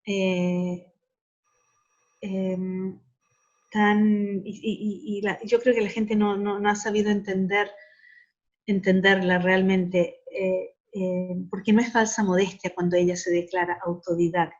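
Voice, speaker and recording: A woman's voice: mid-range voice, little pitch movement, slow, highs cut off. A middle-aged woman academic speaking reflectively in an interview, earnest and often repeating words as she searches for the right one.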